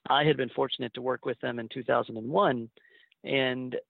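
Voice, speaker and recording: Man, 30s, from Liberia, physician guest; gravelly, mid-pitched, measured storyteller; dry phone-line sound. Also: steady tone, no room sound. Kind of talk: interview answers.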